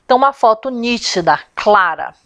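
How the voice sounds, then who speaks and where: A woman's voice: fairly high pitch, expressive, moderate pace, fairly bright tone. A woman from Salvador, giving a chatty step-by-step lesson as a confectioner and business coach, often asking rhetorical questions. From Salvador, Brazil. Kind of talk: informal instructional video.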